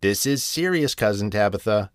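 A man voicing a brisk, bossy neighbour lady cat in a children's storybook, critical and no-nonsense. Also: lowish voice, animated, no echo, clean studio sound, natural voice. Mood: urgent.

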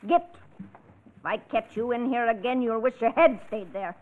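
A woman's voice: a little high, measured pace, close to an everyday voice. An actress playing a cold, domineering middle-aged foster mother in a 1940s radio drama, stern, suspicious and commanding. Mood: threatening. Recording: tinny old radio recording.

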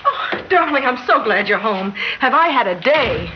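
Emotional woman, classic radio acting, very animated pitch, earnest, dramatic, noisy old recording. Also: moderate pace, lo-fi sound. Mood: hurt, wifely. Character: emotional woman pleading with a troubled man.

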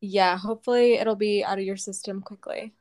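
A young woman with a medium-pitched voice, telling a story casually as a podcast co-host. She speaks in an animated way and swears without holding back. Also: a little fast.

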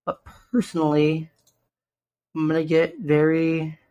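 A young man with a slightly raspy, hoarse voice, earnestly hosting a solo podcast and slowing down to stress key numbers. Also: on the high side, flat delivery, dark tone, dull audio. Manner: earnest, stresses numbers slowly, self-interrupting restarts. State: hoarse from shouting the day before. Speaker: podcast host.

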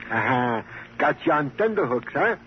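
An actor playing an eager, long-winded comic police sidekick in a vintage radio crime drama. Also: male voice, medium pitch, big pitch swings, normal speed, lightly acted. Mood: pleased, teasing. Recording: noisy, muffled vintage radio recording.

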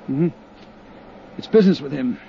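An actor playing a steady, practical male investigator who talks in a measured, matter-of-fact way, in a muffled 1950s radio drama. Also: on the high side, creaky. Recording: muffled 1950s radio broadcast with faint hiss.

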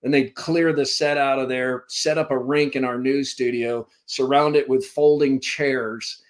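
A man's voice: medium pitch, fairly even intonation, measured pace. A man in his fifties from Vermont, a sports broadcaster telling stories as a podcast guest, rambling and self-deprecating, speaking over a computer call. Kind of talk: casual storytelling interview. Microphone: remote call from a computer.

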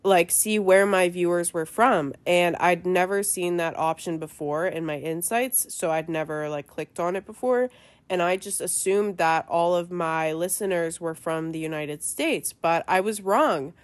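A woman's voice: fairly low pitch, unhurried. A young woman hosting her own podcast in a chatty, casual way with many fillers, her voice stuffy and nasal from a cold. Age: young adult. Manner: chatty, lots of fillers and likes. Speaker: podcast host. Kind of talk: casual chat.

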